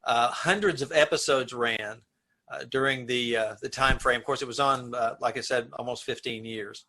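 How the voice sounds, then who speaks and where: A man's voice: medium pitch, fairly even intonation, normal speed, pushed and bright, a little hiss or hum. A man on a video call giving casual background on a show as one of its cast. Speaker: cast member explaining the show's background. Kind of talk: casual explanatory chat.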